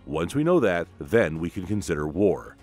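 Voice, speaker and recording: A man voicing a calm, resolute young African king in a comic book retelling, speaking in a measured, solemn way. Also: sits low, soft background music, pitched below the performer's own voice. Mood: firm, reasoning.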